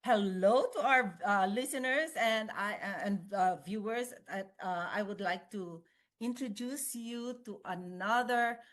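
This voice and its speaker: Woman, podcast host, hesitant with fillers, cheerful, middle pitch. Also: unhurried, a bit bright. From Philippines. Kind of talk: casual interview chat.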